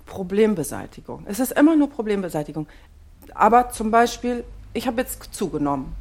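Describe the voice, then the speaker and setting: A fed-up wife in her forties in a naturalistic radio play. Her voice is mid-pitched for a woman. She sounds defensive and overwhelmed, speaking as if unscripted. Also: sing-song, fairly quick, a little mellow. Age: 40s. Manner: defensive, exasperated. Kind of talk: naturalistic improvised-sounding audio drama dialogue.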